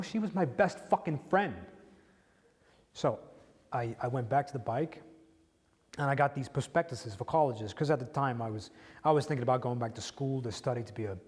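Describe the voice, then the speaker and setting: Actor as a raw, profane widower. Medium-pitched, halting, in a big reverberant space. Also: male voice, a little mellow, natural voice. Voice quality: profane, halting with repeated restarts. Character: grieving widower, raw, blunt and tender. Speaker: widower speaking to a grieving listener. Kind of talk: emotional dramatic monologue.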